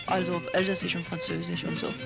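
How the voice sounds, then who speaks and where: Hesitant announcer over loud music. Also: medium pitch, big pitch swings, fast talker. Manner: hesitant, correcting itself mid-sentence. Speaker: radio announcer. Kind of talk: casual station announcement.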